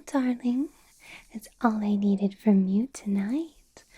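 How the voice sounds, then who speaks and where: A woman's voice: fairly high pitch, husky. A woman whispering as a possessive, menacing female vampire boss in an ASMR roleplay, sweet on the surface with a threatening edge. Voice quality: soft unvoiced whisper.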